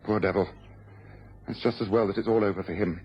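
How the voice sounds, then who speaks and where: A man playing a commanding, precise gentleman detective in a radio drama. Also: fairly low pitch, steady tone, a little fast, close to an everyday voice. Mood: grave. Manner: authoritative, precise, explanatory. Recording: dull lo-fi radio, faint noise.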